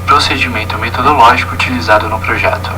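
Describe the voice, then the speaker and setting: A young man with a Brazilian Portuguese accent narrates a prepared presentation in formal, read-out phrasing. His voice sits at a middle pitch, somewhat distant from the microphone. Music plays clearly behind him. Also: fairly even intonation, fast talker. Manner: formal, reading from a prepared text. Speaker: student presenter. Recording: distant mic with music underneath. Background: background music.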